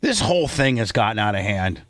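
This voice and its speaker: A man's voice: fairly low pitch, sing-song, a little fast, fairly bright tone, no room sound. A man hosting a talk show in casual radio style, opinionated and repeating his points for emphasis.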